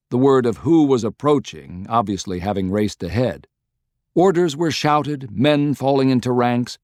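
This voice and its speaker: A man's voice: on the low side, a little mellow, dry recording, studio quality. A man reading scripted narration of a historical novel as an audiobook narrator.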